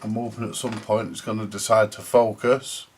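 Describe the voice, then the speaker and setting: A man's voice: fairly low pitch, level tone, moderate pace, slight background noise. A man with a British accent giving casual, grumbly, mildly sweary commentary to viewers while doing hands-on repair work. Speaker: host of a vintage radio repair vlog.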